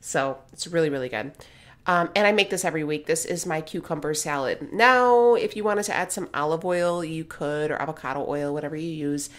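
A woman's voice: low-pitched, a little fast. A woman in her thirties narrating her home cooking in a casual, blunt, joking way, often checking in with "okay?". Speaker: vlogger.

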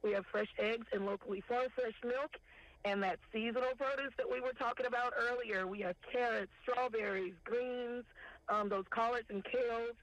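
Calling-in market director, woman, steady list delivery over a tinny phone line. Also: a little high, no echo. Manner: steady, unhurried listing. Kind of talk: phone interview, listing products. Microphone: telephone.

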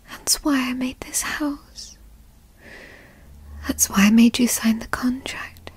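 A woman playing a doting, possessive yandere girlfriend who teases and coos in a soft, breathy ASMR roleplay whisper, close to the mic. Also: high voice, heavily acted. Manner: slow, breathy, cooing, teasing. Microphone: close microphone, dry.

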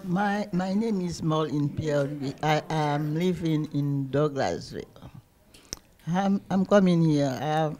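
Woman in her seventies, slow and halting, mellow tone. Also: deep voice. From Haiti. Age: 70s. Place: large community hall indoors.

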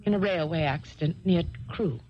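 A woman acting in a 1970s radio drama, playing a distressed, earnest young English heiress with formal, halting phrasing. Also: on the low side, lightly acted. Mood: grieving, troubled. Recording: dull noisy old radio recording.

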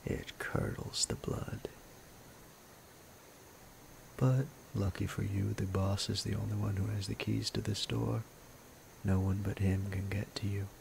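A man voicing a sadistic male bandit captor in an ASMR roleplay, low and gravelly, often whispering in a menacing, playful way. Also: steady tone, slow, long pauses, a little mellow, slight background noise, exaggerated voice. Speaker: voice actor.

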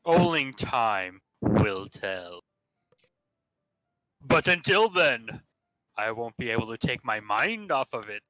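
Male narrator, medium pitch, expressive read-aloud, playful, dry sound. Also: slow, long pauses, no room sound, soft background music, rough recording. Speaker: narrator in a staged reading. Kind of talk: casual dramatic read-aloud of visual novel narration.

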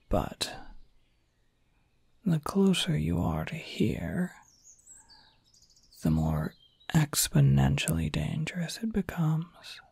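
A suave male incubus acted by a man speaks in a soft, very breathy whisper. He is sly and teasing, lingering slowly over his words. It is an intimate ASMR roleplay, close on the mic with a dry, dark sound. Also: on the high side, no room sound, exaggerated voice. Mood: grave, cautioning. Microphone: close microphone.

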